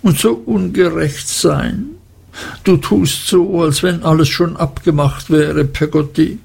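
A fretful young widowed mother, pitched high and plaintive, as a male narrator puts her on. The voice is slow and husky with a gravelly edge. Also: steady tone, a little mellow, full character voice. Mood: tearful.

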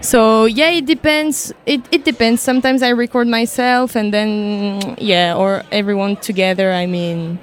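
A woman's voice: medium pitch, steady tone, a little slow, few pauses. A woman whose first language is French, speaking English with a French accent as a musician guest on the radio, hesitant and giggly as she searches for words. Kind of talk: radio interview.

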